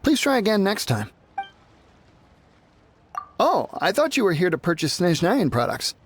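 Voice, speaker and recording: A curt foreign merchant. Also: male voice, sits high, big pitch swings, slight background noise. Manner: brisk, dismissive, then flustered. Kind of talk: game voice acting.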